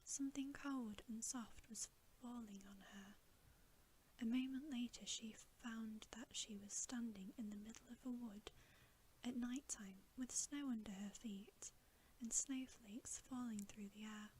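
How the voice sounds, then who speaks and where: A woman whispers a bedtime story very softly and breathily, close to the microphone. She reads slowly and gently in a soothing ASMR storytelling style. A faint noise sits behind her voice. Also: a little high, fairly even intonation, rough recording. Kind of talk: ASMR whispered audiobook reading.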